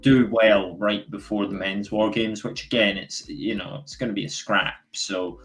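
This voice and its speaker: A man's voice: on the low side, fairly even intonation, normal speed, a bit bright, faint music behind, poor audio. A man hosting a casual live stream and talking in a chatty, easygoing way. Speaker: host.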